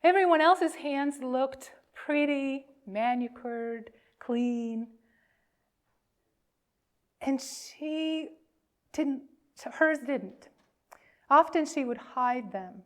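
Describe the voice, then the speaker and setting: A woman minister reading and telling a children's story at a worship service, phrasing deliberately with pauses. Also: high-pitched.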